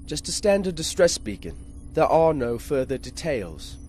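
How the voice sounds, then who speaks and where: A man voicing a passive-aggressive spaceship artificial intelligence, deadpan and dryly obedient, in a retro radio drama. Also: fairly high pitch, moderate pace, over music. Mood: matter-of-fact. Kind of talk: 1950s space radio serial acting.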